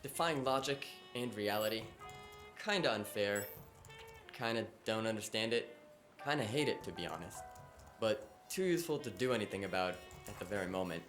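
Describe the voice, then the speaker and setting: A man's voice: on the low side, animated, moderate pace, fairly bright tone, soft background music. Man acting a cocky, wisecracking cyberpunk hacker. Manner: wisecracking, casual, sarcastic. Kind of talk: audio drama dialogue.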